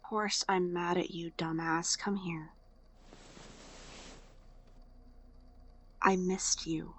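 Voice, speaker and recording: A woman's voice: on the low side, fairly even intonation, a little slow. A woman acting in an audio drama as a sardonic young woman, blunt and teasing but fond underneath. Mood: fond, softened. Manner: dry, blunt, teasing sarcasm. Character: sardonic young woman, hurt but fondly teasing older-sister type.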